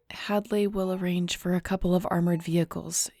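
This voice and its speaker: A woman playing a fierce, protective, stubborn but tender wolf-shifter in an intimate audio roleplay, hushed and firm. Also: fairly low pitch, gravelly, exaggerated voice.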